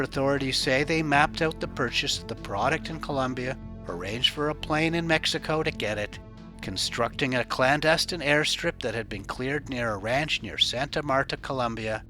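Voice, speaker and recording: A man's voice: mid-range voice, bright, forceful tone. Male crime reporter narrating over music. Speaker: host of a crime news report channel.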